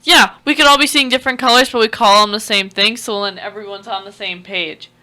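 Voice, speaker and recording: Bright, clear-voiced young woman musing on air. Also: mid-range voice, fairly even intonation, a little fast. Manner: musing, lays out ideas with many "like"s. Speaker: radio show co-host. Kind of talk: casual on-air chat.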